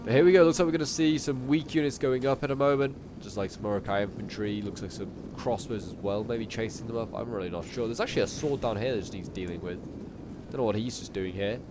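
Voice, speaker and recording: A man with a bit of a cold, chatting casually through strategy-game commentary, rambling along and then bursting out excitedly at big moments. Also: fairly low pitch, quick pace, over music. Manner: chatty, with sudden excited outbursts at big plays. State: sniffly, coming down with a cold.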